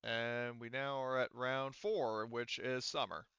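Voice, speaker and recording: Bright, casual male game master. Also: mid-range voice, steady tone, takes it slowly, smooth, no room sound, muffled recording. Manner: informal, swearing. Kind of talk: casual narration of a tabletop game.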